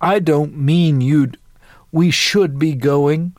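A man's voice: fairly high pitch, fairly even intonation, slow pace, a little mellow, dry recording, clean studio sound, pitched above the performer's own voice. A man voicing a polite, patient grown grandson in a story, courteous and a little exasperated. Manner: courteous, restrained.

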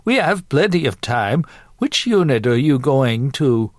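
A man's voice: mid-range voice, sing-song, measured pace, no room sound, clean studio sound, voice pushed up. A man voicing a sassy, elderly grandmother in a story, teasing and blunt in a folksy, gossipy way. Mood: feigned innocence.

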